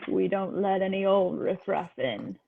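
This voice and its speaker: A woman's voice: mid-range voice, slow, vocal fry, slight background noise. Tinny-sounding smug village businessman, played by a woman. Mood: smug, charming. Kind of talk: film script table read. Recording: tinny phone-like connection.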